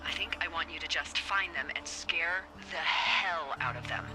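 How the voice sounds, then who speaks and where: An actress voicing a wry, teasing American fire lookout supervisor in a video game, with a dry, playful and sarcastic manner. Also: female voice, low-pitched, moderate pace, lightly acted, poor audio. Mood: wry, pondering. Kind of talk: naturalistic video game dialogue. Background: background music.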